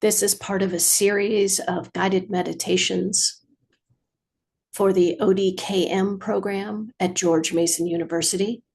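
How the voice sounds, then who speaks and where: Female meditation guide. Unhurried, measured, soothing delivery with gravelly fry and generous pauses. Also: mid-range voice, fairly even intonation, a little mellow. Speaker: meditation teacher.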